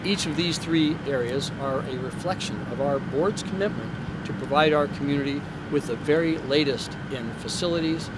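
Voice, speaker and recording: Formal man presenting over clear outdoor noise. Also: on the high side. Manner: formal, measured, deliberate phrasing. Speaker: hospital executive giving a facility update. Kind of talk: prepared newsreel presentation to camera.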